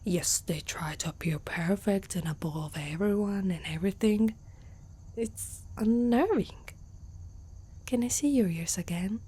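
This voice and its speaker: A woman playing a gentle, protective centaur girl in an ASMR roleplay, speaking softly and closely to one listener, often near a whisper. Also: medium pitch, a little slow, breathy edge. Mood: curious, gentle. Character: gentle, protective, curious young female centaur.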